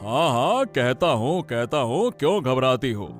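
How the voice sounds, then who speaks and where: A man voicing a commanding, pompous king with a deliberate, authoritative manner in a Hindi cartoon story. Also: mid-range voice, very animated, soft background music. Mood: smoothly commanding. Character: commanding, imperious king giving orders to subjects.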